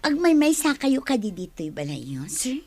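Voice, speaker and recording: A woman's voice: high-pitched, very animated, moderate pace, darkish tone, soft background music, heavily acted. A woman playing an attentive, deferential employee in a Philippine radio drama. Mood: sly, coaxing.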